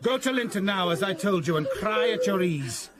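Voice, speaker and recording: Menacing, volatile master, high-pitched. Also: male voice, lively intonation, moderate pace, very noisy. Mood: cruel. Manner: mocking mock courtesy breaking into barked orders. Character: menacing, sardonic master of a moorland house, violent temper. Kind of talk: period radio drama acting.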